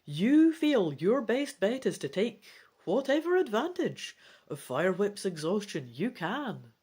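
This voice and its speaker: A woman reading an adventure gamebook aloud in a theatrical, deliberate style. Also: medium pitch, big pitch swings, darkish tone, husky. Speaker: gamebook reader and player. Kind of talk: dramatic storybook narration.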